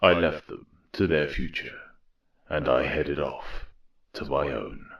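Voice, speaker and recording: A man playing a weary, solemn robot war leader in a grave, deliberate audio drama monologue. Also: extremely low pitch, fairly even intonation, muffled recording, full character voice. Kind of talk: reflective dramatic monologue narration.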